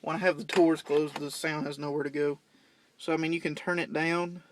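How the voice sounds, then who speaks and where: Young man, slightly high pitch, casual how-to demonstration, plain-spoken, unscripted, faintly noisy handheld recording. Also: flat delivery, a little fast. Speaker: CB radio hobbyist giving a demonstration. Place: in and around parked vehicles at home.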